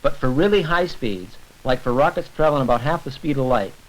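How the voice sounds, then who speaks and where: Male narrator, mid-pitched, explanatory, scripted science film delivery, faint noise on old soundtrack. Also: lively intonation, brisk, rough recording. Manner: explanatory, addresses the viewer directly.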